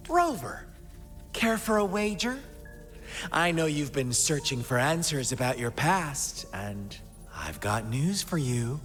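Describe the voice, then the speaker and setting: An actor playing a sinister, mocking cult overseer in a video game, speaking in a theatrical, smugly courteous way. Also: male voice, fairly high pitch, big pitch swings, takes it slowly, a bit bright, big-room sound, soft background music, heavily acted. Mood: grandly enticing. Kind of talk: dramatic video game voice acting.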